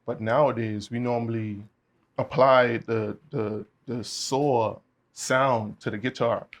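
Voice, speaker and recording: A young man from the Bahamas, a guest musician talking in a relaxed way, with many fillers. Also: medium pitch, slow pace. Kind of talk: casual interview chat.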